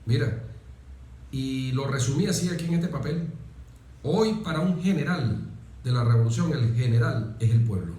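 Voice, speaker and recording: A man's voice: medium pitch, expressive, warm tone. Venezuelan man in a very echoey room. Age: 40s. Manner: deliberate, emphatic with pauses and repetition. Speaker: interview guest, military officer. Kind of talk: reflective, oratorical interview talk.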